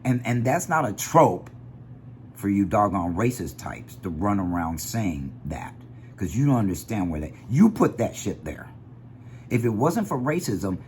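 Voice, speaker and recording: A man with a deep bass voice giving an animated, opinionated vlog monologue that drifts off on tangents. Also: a little hiss or hum. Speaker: vlogger.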